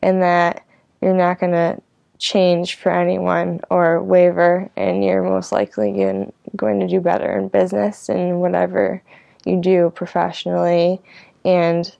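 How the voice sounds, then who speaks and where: Lowish woman w lots of vocal fry, dry close sound, rambly vlog chat, says like and you know a ton. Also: fairly even intonation, measured pace, warm tone, no room sound, muffled recording. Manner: informal, meandering, filler-heavy with frequent "like" and "you know". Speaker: host of her own online show. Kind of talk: casual rambling vlog reflection.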